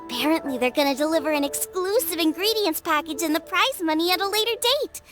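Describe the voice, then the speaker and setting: A woman voicing a perky, earnest teenage girl chef in a video game, bubbly and expressive. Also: very high-pitched, fast talker, loud music behind the voice. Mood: excited.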